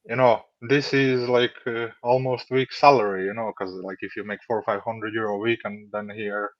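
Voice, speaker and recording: A man in his thirties from Latvia, answering interview questions in a relaxed, rambling way with many fillers. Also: lowish voice, moderate pace, no echo. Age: 30s. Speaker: funded trader, guest. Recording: dull dry lo-fi audio.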